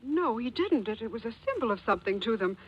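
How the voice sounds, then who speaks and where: Flustered secretive widow, muffled radio. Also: female voice, on the high side, big pitch swings, fast talker, husky. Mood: flustered, confiding. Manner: hesitant, breaking off, pleading. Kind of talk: 1940s radio drama acting. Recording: muffled 1940s radio recording.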